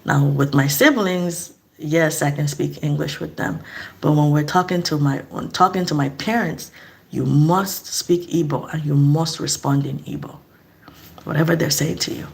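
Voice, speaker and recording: A woman's voice: low-pitched, fairly even intonation, normal speed, vocal fry. A middle-aged woman from eastern Nigeria, speaking in a casual, earnest vlog monologue and asking reflective questions. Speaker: vlogger.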